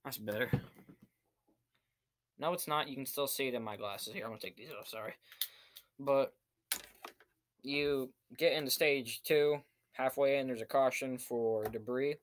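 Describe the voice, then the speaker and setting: A young man reviews events in a casual vlog style. His voice sits at a middling pitch and he delivers his recap in short, choppy pieces. He is recorded indoors at desk distance from a computer mic. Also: measured pace, long pauses. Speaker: amateur race reviewer. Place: small cluttered room indoors.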